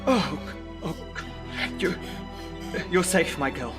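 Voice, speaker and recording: A man's voice: fairly high pitch, expressive, slow pace, over music. A man playing a protective, authoritative middle-aged Victorian father, firm and formal, in a period audio drama. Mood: urgent, protective.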